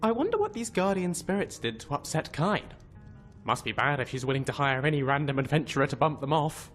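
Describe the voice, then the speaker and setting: A man acting as an eager young male scholar in a fantasy game. His high, very animated voice is earnest and articulate, over music. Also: brisk. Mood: wondering. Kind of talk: fantasy game companion dialogue.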